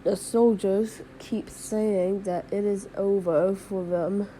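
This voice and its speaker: A woman playing a radio host giving halting, measured emergency updates in a fictional broadcast. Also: medium pitch, steady tone, warm tone, a little hiss or hum, lightly acted, poor audio. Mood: measured, concerned. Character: female radio host relaying werewolf invasion news.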